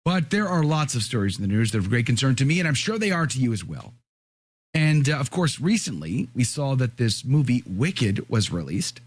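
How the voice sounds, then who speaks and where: A hoarse, raspy man hosting a podcast, reading an article aloud in a measured, deliberate way with his own remarks. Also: mid-range voice, no echo. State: hoarse from a cold. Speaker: podcast host.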